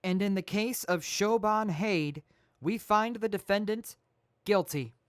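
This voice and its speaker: A woman's voice: medium pitch, unhurried, no echo, studio quality, natural voice. A woman as a businesslike jury foreperson. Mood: formal. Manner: flat, formal reading of verdicts.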